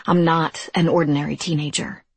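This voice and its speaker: A woman's voice: sits low, level tone, normal speed, breathy edge, no room sound. A woman voicing a guarded, defiant teenage girl with terse, firm, challenging delivery.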